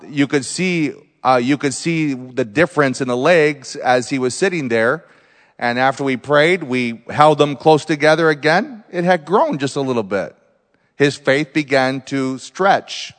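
A man preaching as a visiting missionary, telling healing stories and declaring loudly, rising to exclamations. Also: fairly high pitch, in a large hall. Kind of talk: pentecostal sermon.

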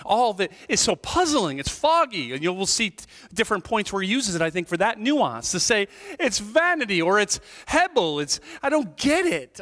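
A man preaches from the pulpit in an animated, chatty manner, often asking his listeners "right?" His voice sits high and leaps up and down. A long reverb from the church hall trails behind his words. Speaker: pastor. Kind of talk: sermon. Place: church sanctuary.

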